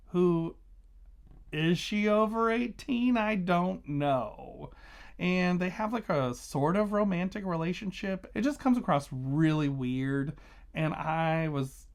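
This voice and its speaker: A man hosting a casual book review vlog, talking conversationally and emphatically, stressing and repeating key words. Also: fairly high pitch.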